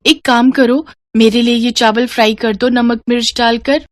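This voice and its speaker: A woman's voice: fairly high pitch, fairly even intonation, moderate pace, dry recording, clean studio sound, voice pushed up, natural voice. A woman voicing a whining, fussy school-age child in a moral story cartoon. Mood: complaining. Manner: whining, complaining.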